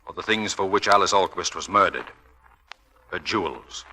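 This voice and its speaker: An actor playing a steady, protective gentleman detective with an earnest, authoritative manner in a 1940s radio drama. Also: low voice, normal speed, exaggerated voice. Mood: urgent, persuasive. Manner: earnest, authoritative, drily ironic. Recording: old radio broadcast recording.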